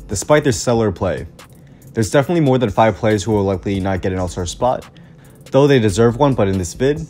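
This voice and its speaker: A man's voice: on the low side, darkish tone. A young man reading scripted basketball commentary from a prepared text, his voice still a bit off after the flu. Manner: reads a prepared script with stat lists, upbeat. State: recovering from the flu, voice slightly off. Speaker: sports commentary YouTuber.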